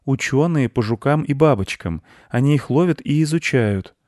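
A man voicing a kind, patient, curious young boy in a storybook, asking things gently. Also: medium pitch, animated, moderate pace, no echo, clean studio sound, pitched above the performer's own voice, lightly acted. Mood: helpful. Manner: gentle, questioning.

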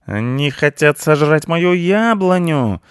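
A man's voice: on the high side, animated, takes it slowly, darkish tone, smooth, no room sound, studio quality, pitched above the performer's own voice. Man voicing a hot-tempered shrieking ginger tomcat. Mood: furious. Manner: shrieking, hissing threats. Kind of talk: animal storybook character voice.

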